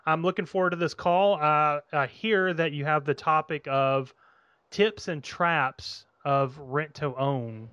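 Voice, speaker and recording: A male host with a smooth, resonant voice that sits slightly high for a man. He sounds upbeat and relaxed and drops in filler words. The recording is dull and dry. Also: slow, dry recording. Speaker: podcast host. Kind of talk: phone interview host.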